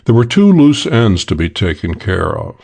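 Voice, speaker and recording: A man reading a hardboiled detective audiobook as scripted narration, in a measured, matter-of-fact way. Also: on the low side, sing-song, creaky, darkish tone, slightly rough.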